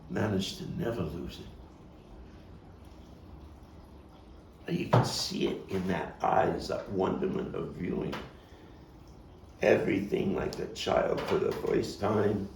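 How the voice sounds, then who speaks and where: Actor as low, gravelly street-wise Brooklyn man reminiscing. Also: a little mellow, exaggerated voice. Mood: pensive, grieving. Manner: halting, reflective, dramatic pauses. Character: street-wise, bookish Brooklyn man recalling a hard childhood. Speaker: actor-playwright performing his monologue. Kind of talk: theatrical monologue at a table read. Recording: roomy, distant mic with faint noise.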